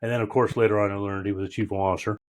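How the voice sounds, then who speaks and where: Low-voiced male podcast host in his fifties, reflective. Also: little pitch movement, very fast, slight background noise. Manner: reflective, searching for words. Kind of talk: casual interview conversation.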